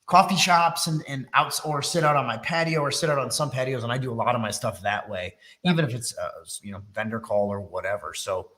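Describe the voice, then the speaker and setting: A man's voice: medium pitch, brisk, few pauses, bright, forceful tone. A middle-aged man from Texas, a little congested, hosting a live business webinar in a chatty, teasing, self-deprecating way. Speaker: workshop host. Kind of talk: casual live webinar talk.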